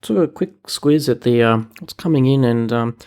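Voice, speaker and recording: Gravelly-voiced male podcast host. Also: mid-range voice, normal speed. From New Zealand. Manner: relaxed, chatty, with fillers. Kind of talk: casual tech podcast chat.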